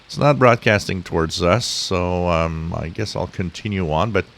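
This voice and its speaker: A man giving an informal, explanatory screen-share tutorial in a halting, rambling, instructive way. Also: low-pitched, gravelly. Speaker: shortwave radio hobbyist, tutorial host. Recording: steady hiss behind the voice.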